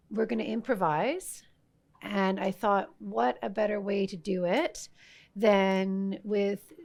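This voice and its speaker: A woman's voice: medium pitch, gravelly, a little mellow. A woman hosting a live tea festival panel, introducing her guests with frequent hesitations. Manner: hesitant, many uhs, welcoming. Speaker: host. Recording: live festival stage talk.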